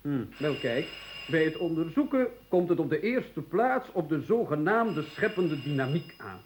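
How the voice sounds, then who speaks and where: A man doing a deadpan self-styled researcher. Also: on the high side, a little slow, slight background noise. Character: dry-witted researcher man named Kwartel. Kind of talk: radio play acting.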